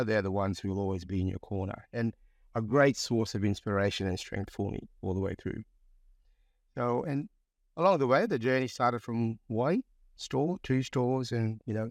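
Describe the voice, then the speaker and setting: Gravelly low-voiced man from Fiji. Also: normal speed, dry recording. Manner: relaxed, reflective, many "you know" fillers. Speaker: guest pharmacist. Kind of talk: conversational interview.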